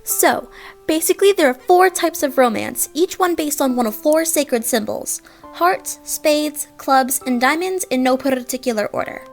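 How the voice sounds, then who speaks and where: Woman doing high girly catgirl alien, eager n dramatic, audio drama storytelling, bit of music under it. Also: expressive, moderate pace. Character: perky, girlish, eager young female alien cat-like troll. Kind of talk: fan audio drama narration.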